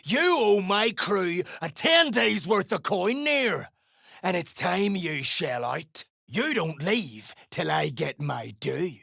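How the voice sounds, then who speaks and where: Fantasy game gang boss, female duergar: growling, slangy, menacing, unhurried, with very animated pitch swings. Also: dry recording, clean studio sound, heavily acted. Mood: angry, threatening. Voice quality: gravelly, growling. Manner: gruff, crude, slangy, threatening. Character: foul-mouthed, menacing duergar mercenary gang boss, greedy for coin. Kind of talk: video game character dialogue.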